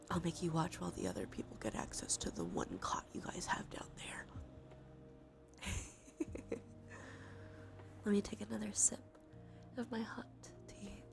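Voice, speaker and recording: A woman with a stuffy nose doing a cozy, gentle ASMR stream, chatting casually in a soft, breathy voice that often drops into whispers. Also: lowish voice, very animated, slow, long pauses, music in the background. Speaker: livestreamer hosting an ASMR stream. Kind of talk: cozy ASMR, casual chat while gaming. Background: soft ambient soundscape.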